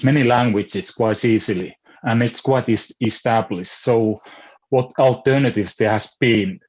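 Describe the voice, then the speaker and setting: A man with a Finnish accent, a native Finnish speaker, talking on an online panel in a hesitant way, restarting often as he searches for words. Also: mid-range voice. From Finland. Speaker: philosopher on a panel. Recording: dull lo-fi call audio.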